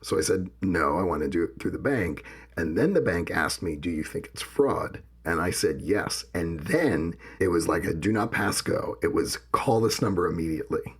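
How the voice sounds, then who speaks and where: A man co-hosting a podcast, with a gravelly voice, talking casually and telling personal stories. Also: low voice, expressive, normal speed. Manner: personal, reflective, tells anecdotes. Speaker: podcast co-host. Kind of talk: casual conversation.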